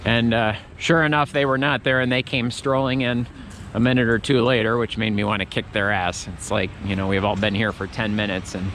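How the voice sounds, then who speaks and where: A man's voice: lowish voice, flat delivery, a little fast, fairly bright tone, a little hiss or hum. Rambling man vlogging outdoors. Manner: rambling, chatty, many restarts. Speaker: travel vlogger. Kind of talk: casual walking commentary. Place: outdoor Christmas market in a city.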